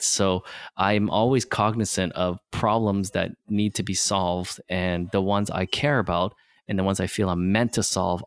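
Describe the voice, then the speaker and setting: Podcast guest, middle-aged guy, lowish voice, dry close sound, vietnamese first language, says "right?" a lot. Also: dry recording. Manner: plain simple wording, frequent restarts and "right?" tags. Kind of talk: casual conversation.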